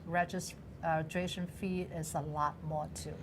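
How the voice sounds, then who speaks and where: A woman from Hong Kong with a Hong Kong accent, her native tongue Cantonese, speaking as an interview guest in a TV studio, hesitant with many fillers and quick to laugh. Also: low-pitched, level tone, a little hiss or hum. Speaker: guest, business association president.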